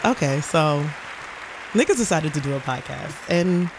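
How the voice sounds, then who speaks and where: A woman's voice: sits low, lively intonation, normal speed, a crowd in the background, background noise. A podcast host from the Bronx, in her thirties, chatting casually and bluntly with friends, swearing and joking. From the Bronx, New York. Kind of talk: casual chat.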